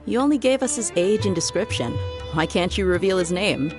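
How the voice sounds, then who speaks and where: A breezy, joking female mercenary from a fantasy game, voiced by a woman with an unusually low voice. She laughs easily and keeps things casual. A clear game score plays under her. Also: animated, a little fast, lightly acted. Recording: game music behind.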